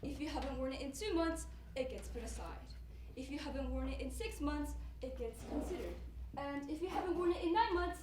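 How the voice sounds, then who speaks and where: A woman's voice: high voice, expressive, fairly quick, far from the mic. A woman acting a brutal, bossy professional closet organizer in a stage comedy, with a commanding, clipped, sarcastic delivery. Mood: stern, brisk. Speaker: stage actor in a one-act play. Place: indoor stage before an audience, distant microphone. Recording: echoey, noisy stage recording from afar.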